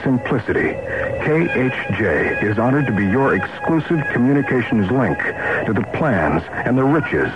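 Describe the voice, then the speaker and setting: A man's voice: medium pitch, big pitch swings, moderate pace, highs cut off. Male promo announcer, seventies radio style: solemn and dramatic, with wide melodic swings in delivery. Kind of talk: scripted 1970s radio promo narration. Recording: 1970s radio aircheck with music. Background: prominent music bed.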